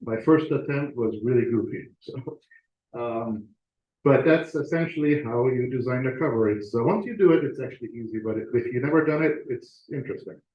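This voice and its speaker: A man gives a relaxed presentation to fellow club members, working through slides with fillers and restarts. His mid-pitched voice rings in a roomy meeting space. The recording is dull and lo-fi. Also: a little fast. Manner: conversational with frequent fillers and restarts.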